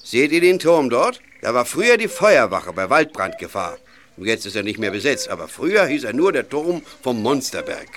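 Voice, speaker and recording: A man's voice: medium pitch, sing-song, normal speed, fairly bright tone, slight background noise. An actor playing a friendly village man in a radio play, telling eerie local tales in a folksy way. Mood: storytelling. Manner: folksy, storytelling.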